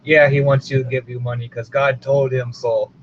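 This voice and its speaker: A man's voice: medium pitch, flat delivery, moderate pace, noisy background. A man hosting a radio hobby recording, chatting casually and off the cuff. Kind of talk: casual off-the-cuff commentary while tuning. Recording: muffled, loud radio playing behind. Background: music from a shortwave radio.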